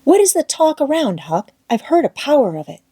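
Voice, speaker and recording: An earnest, worried young boy from a storybook, played by a woman narrator. The voice lifts high with eager questions and speaks in folksy rustic dialect, in a soft-toned, dry recording. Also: sing-song, brisk, dry recording. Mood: secretive, earnest. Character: Tom Sawyer, anxious, earnest young boy.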